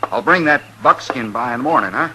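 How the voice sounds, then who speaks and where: A man's voice: medium pitch, lively intonation, a little fast, lightly acted. An American actor playing a folksy, meddling, over-friendly frontier townsman in a 1950s radio Western, hesitant and ingratiating. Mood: uneasy, ingratiating. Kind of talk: 1950s radio drama acting. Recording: noisy vintage 1950s radio recording.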